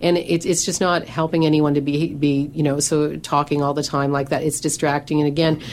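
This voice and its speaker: A woman's voice: sits low, level tone, a little fast, a little mellow. A middle-aged woman, a professional organizer and coach, talking on a radio show with a big voice that carries and lots of "you know" fillers. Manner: says she has a big voice that carries; conversational, many fillers and restarts.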